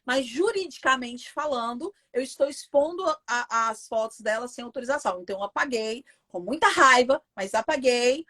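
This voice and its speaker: This Brazilian woman talks with a Brazilian Portuguese accent, with a somewhat high voice, and tells her story with emphatic indignation. She speaks casually, as a guest in an interview. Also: animated, normal speed, few pauses, pushed and bright.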